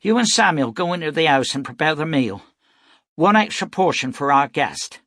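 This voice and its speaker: A fearless frontier mother from a novel, played by a male narrator. The voice is lifted well above his own, brisk and blunt, with a lively swing and a dry, close sound. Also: on the high side, no room sound, pitched above the performer's own voice. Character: tough, fearless frontier mother, plain-spoken.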